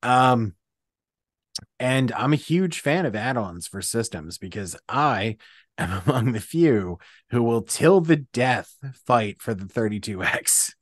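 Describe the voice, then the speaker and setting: A man's voice: mid-range voice, expressive, slow pace, no echo, clean studio sound. A middle-aged man chatting casually and emphatically as co-host of a gaming show. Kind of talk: casual chat. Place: dark indoor room. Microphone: close studio mic with pop filter.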